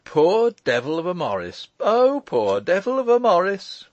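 Man playing a high-pitched, exuberant gentleman lawyer. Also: big pitch swings, normal speed, no echo, muffled recording. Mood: gleeful. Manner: emphatic, eager, breezy. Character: brisk, teasing, exuberant gentleman lawyer nephew.

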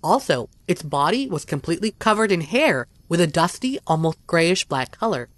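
Lively, dry-voiced scripted narrator. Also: mid-range voice, moderate pace, dry recording.

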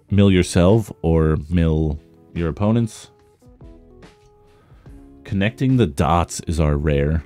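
A man with a slightly rough voice, worn from talking, giving casual commentary as he opens trading cards. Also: low voice, mellow. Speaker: trading card content creator. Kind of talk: casual card pack opening commentary.

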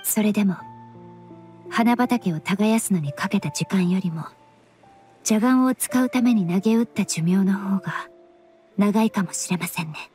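Mellow, composed young woman investigator played by an actress. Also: medium pitch, faint music behind, lightly acted. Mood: solemn, reflective. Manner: polite, measured, reflective. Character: calm, analytical young woman perfumer and investigator, polite and thoughtful. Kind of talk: Japanese game voice acting.

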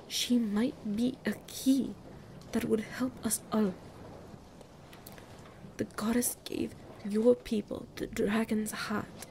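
A woman's voice: medium pitch, darkish tone, a little hiss or hum. A woman playing a grave, mystical female ruler in an audio roleplay, speaking formally and breathily as she pleads for her people.

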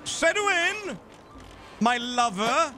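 Young man, lively teasing banter. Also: very high-pitched, drawn-out words, soft background music. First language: Swedish. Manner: playful, teasing, jokey banter. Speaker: co-op gaming YouTuber. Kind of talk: casual co-op gameplay chat.

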